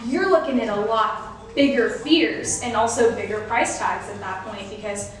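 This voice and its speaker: A woman's voice: mid-range voice, moderate pace, recorded from a distance. A young woman from Texas, a real estate agent and guest, talking in an upbeat, chatty way in a casual interview. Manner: upbeat, talkative, laughs often. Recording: echoey room, voice off-mic.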